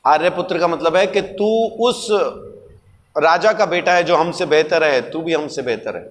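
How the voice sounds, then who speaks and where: Man, high-pitched voice, sermon, emphatic didactic delivery, rhetorical questions, reverberant hall. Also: moderate pace. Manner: emphatic, didactic, repeats key words for weight. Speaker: preacher.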